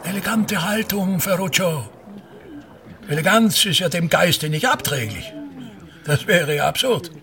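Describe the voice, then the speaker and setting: A man's voice: high-pitched, expressive, measured pace, bright, forceful tone, full character voice. An actor playing a pompous, self-important older theatre man and tyrannical father, declaiming disdainfully and repeating his phrases in a radio drama. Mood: grandiose.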